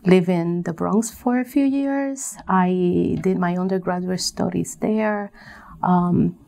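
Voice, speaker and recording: Lower-voiced woman from Puerto Rico. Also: slow, gravelly, warm tone. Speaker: interview guest, business coach. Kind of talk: conversational interview answers.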